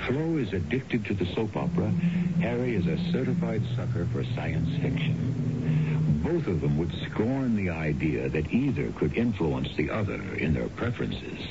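A man hosting a radio drama, narrating in a deliberate, theatrical scripted style. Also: deep voice, sing-song, heavy background noise. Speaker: host and narrator. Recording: dull lo-fi radio, loud music behind.

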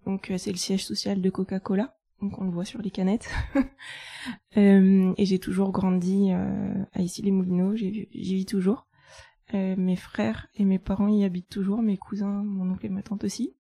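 A woman in her thirties from France, chatting about her path in a relaxed way. Her voice is very dark and mellow, and the recording is dry and close. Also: mid-range voice, normal speed, creaky, dry recording. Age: 30s. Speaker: guest, chartered accountant. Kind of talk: casual interview.

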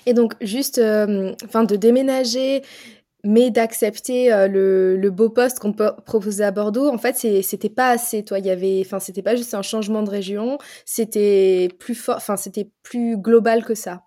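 A woman hosting an interview, asking halting questions and giving short affirmations as she listens. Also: mid-range voice, fairly even intonation, dark tone. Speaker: interviewer, podcast host.

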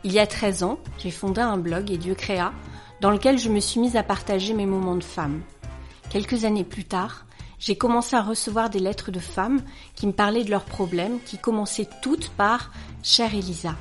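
A woman in her forties hosting a podcast, reading a letter aloud in a calm, measured, earnest way. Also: medium pitch, faint music behind. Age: 40s. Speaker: podcast host.

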